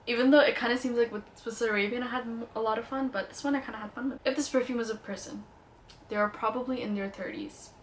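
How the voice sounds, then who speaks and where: A woman's voice: on the high side, steady tone, fast talker, a little hiss or hum. A young woman with a light Indian accent, chatting playfully and enthusiastically as she reviews perfumes. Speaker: beauty vlogger reviewing perfumes. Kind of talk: casual product review vlog.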